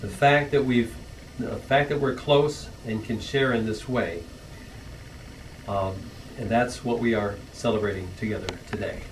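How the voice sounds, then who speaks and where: A middle-aged man reading his own rhyming anniversary poem in a church hall, earnest, with small stumbles and soft laughs. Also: fairly low pitch, fairly even intonation, unhurried, recorded from a distance, slight background noise.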